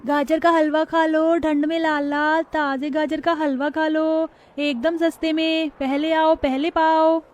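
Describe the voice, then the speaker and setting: A woman's voice: sits high, little pitch movement, unhurried, few pauses. A woman voicing poor, earnest young orphan sisters in a melodramatic moral-story cartoon. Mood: calling out.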